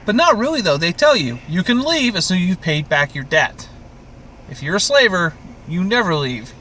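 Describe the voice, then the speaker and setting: High, bright-voiced man ranting casually. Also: very animated, normal speed, slight background noise. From Canada. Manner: ranting, exasperated, sweary. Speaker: podcast co-host. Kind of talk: casual TV recap chat.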